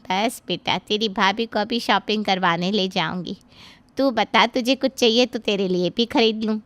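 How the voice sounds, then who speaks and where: Imagine a loving mother on a phone call, voiced by a woman narrator. She speaks gently and coaxingly at a middle pitch, recorded close and dry. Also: moderate pace, dry recording, natural voice. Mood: affectionate. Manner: affectionate, coaxing.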